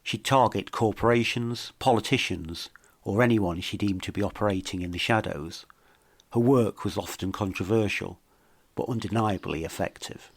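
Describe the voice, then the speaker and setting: A man reading scripted mystery narration in a measured, dramatic storytelling manner. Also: fairly low pitch, dry recording. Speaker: documentary podcast narrator.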